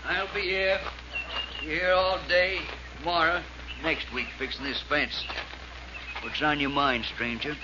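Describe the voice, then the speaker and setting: A man's voice: on the high side, fairly even intonation, a little slow, noisy background, natural voice. Rustic farmhand on muffled old radio, acted by a man. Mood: laconic. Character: laconic country farmhand, a hired man. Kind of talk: 1940s radio acting.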